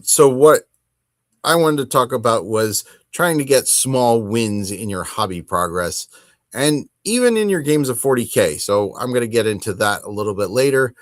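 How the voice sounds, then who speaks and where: A man's voice: mid-range voice, lively intonation, unhurried, dry recording, clean studio sound. A man hosting a casual solo hobby talk, conversational and emphatic, often correcting himself as he goes. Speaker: podcast host.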